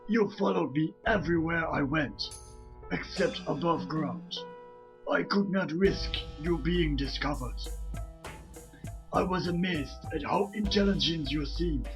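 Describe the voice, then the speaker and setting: An old rat sensei, voiced by a man, telling his tale gravely and fatherly. The voice sits a little high and is full of creak, with very slow, measured phrasing. Music plays underneath. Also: poor audio. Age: older. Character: aged male mutant rat sensei, solemn and fatherly. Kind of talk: scripted narration in a fan voice comic.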